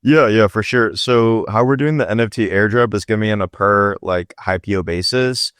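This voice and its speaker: A man's voice: lowish voice, moderate pace, fairly bright tone, smooth, no room sound, clean studio sound. A man from Pakistan, a startup founder as podcast guest, talking casually with lots of "like" and "right?".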